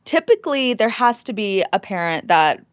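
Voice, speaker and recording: A woman's voice: mid-range voice, big pitch swings, moderate pace, smooth, no echo, clean studio sound. A woman chatting casually and candidly as a podcast guest, often acting out remarks she quotes. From Southern United States. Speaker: podcast guest, former teacher.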